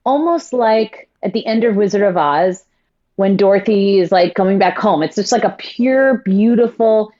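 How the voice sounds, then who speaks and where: A stage actress telling stories in a casual interview, effusive and quick with quoted asides. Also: female voice, medium pitch, mellow, lo-fi sound.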